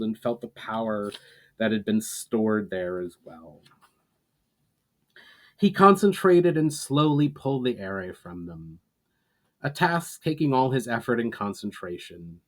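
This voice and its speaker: A man reading a fantasy story aloud as dramatic narration, in a measured, solemn manner with emphatic phrasing. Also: medium pitch, animated. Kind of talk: dramatic audiobook-style narration.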